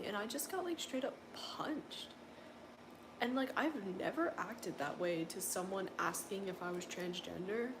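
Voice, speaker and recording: A young woman from coastal North Carolina with a deep voice, chatting casually on a livestream and answering viewers' comments with lots of filler words. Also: noisy background. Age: young adult. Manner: rambling, filler-heavy, exasperated. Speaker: livestream host.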